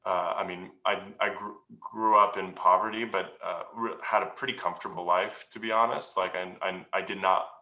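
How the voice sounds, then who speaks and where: A man answering questions as a guest at a meeting, speaking in an earnest, reflective way with many pauses and restarts. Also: low voice, steady tone, bright, forceful tone. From United States. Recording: roomy echo.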